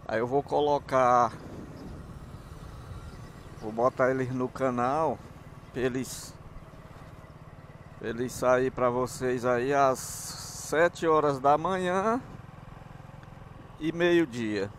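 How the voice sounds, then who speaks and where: Male motovlogger, northeastern Brazilian accent, folksy casual chat at a slow pace, mid-range pitch, recorded outdoors while riding. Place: outdoors, riding a motorcycle on town streets. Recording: motorbike and street noise. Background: engine and traffic sounds.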